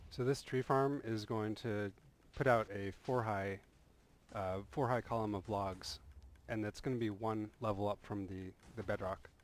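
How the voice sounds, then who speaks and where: Low-voiced man explaining a game build. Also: measured pace. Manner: relaxed, rambling explanation with frequent restarts and "uh". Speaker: game tutorial creator. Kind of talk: casual voice-over walkthrough of a game build.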